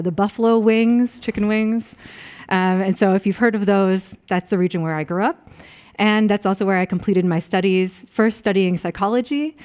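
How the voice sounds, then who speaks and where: American lady professor starting a lecture, friendly, talks with the crowd, mid-pitched voice. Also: darkish tone. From Rochester, New York, USA. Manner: friendly and conversational, asks the audience questions. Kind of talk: introductory lecture.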